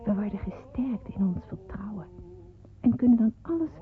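A woman's voice: medium pitch, animated, moderate pace, close to an everyday voice. Woman as earnest schoolgirl narrator, thin phone-like sound. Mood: earnest, content. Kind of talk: scripted first-person narration in a radio drama. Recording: thin phone-like radio drama recording.

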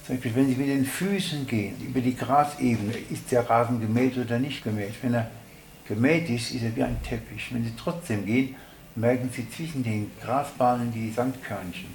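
Man explaining haltingly in a roomy space. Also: medium pitch, steady tone, darkish tone. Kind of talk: spontaneous explanatory talk. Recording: roomy sound with faint noise.

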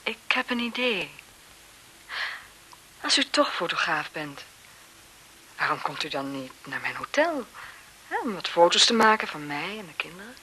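A woman's voice: on the low side, sing-song, moderate pace, pauses often, bright, forceful tone, rough recording. An actress playing a bored, impatient, coquettish aristocratic lady in a 1970s radio drama, with languid, theatrical sighing delivery. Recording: hissy 1970s radio play recording.

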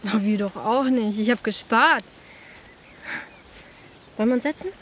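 High-voiced teenage schoolgirl outdoors. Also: measured pace, a bit bright. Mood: chatty, playful. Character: chatty, dreamy East German schoolgirl in her early teens. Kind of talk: naturalistic German radio drama acting. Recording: outdoor ambience with crickets, clear background noise.

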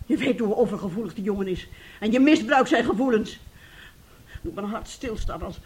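Husky, swooping, scolding mother. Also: a little high. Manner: stern, reproachful, theatrical. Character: middle-aged mother and aunt, scolding, indignant, protective. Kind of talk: radio play acting in Dutch.

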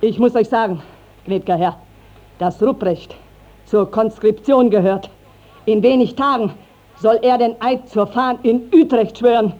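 A woman's voice: a little high, measured pace, close to an everyday voice. An actress playing a garrulous, righteous village mother, declaiming in a classical German radio drama. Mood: accusing. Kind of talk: classical German stage-style radio acting. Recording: dull 1950s radio drama recording.

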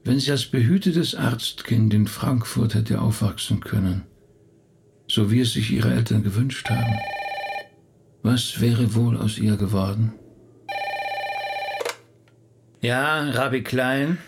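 Earnest rabbi, reverberant. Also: male voice, medium pitch, measured pace, vocal fry, noisy background. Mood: weary musing. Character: harried, apologetic male rabbi who also preaches. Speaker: actor. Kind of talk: radio drama acting.

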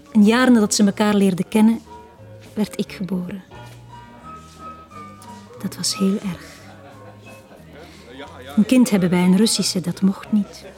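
A mellow-voiced Flemish woman, played by an actress, quietly confides her sad past in a 1980s radio drama. She is intimate and wistful, often dropping near a whisper. Faint music plays behind her. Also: medium pitch, natural voice. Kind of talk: 1980s Dutch-language radio drama acting.

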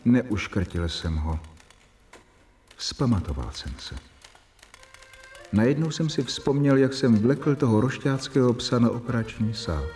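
A man doing a measured storytelling narrator. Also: medium pitch, lively intonation, darkish tone, soft background music, lightly acted. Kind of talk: first-person narration in a radio play.

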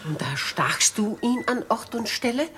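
An actress playing a noble, composed, compassionate older lady in a 1950s German radio drama, husky and measured, with dignified stage diction. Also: a little high, expressive, a bit bright, slight background noise. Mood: grave, compassionate. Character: noble, composed, compassionate elderly spinster lady questioning firmly. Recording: muffled vintage 1950s radio recording.

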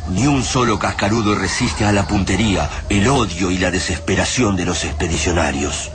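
A man's voice: sits low, moderate pace, noisy background, natural voice. A man with an Argentine accent as the grave, poetic narrator of a radio drama. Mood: dramatic. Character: grave, poetic male storyteller of a war tale. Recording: studio narration over loud music.

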